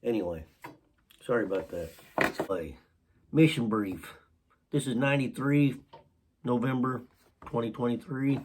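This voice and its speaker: Brief: sick, hoarse man with a Southern US accent, slow unhurried unboxing chat, frequent throat clearing, faint noise in background. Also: mid-range voice. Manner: halting, coughing and clearing throat often. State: sick with a cold, voice partly lost. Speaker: channel host. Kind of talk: casual unboxing vlog.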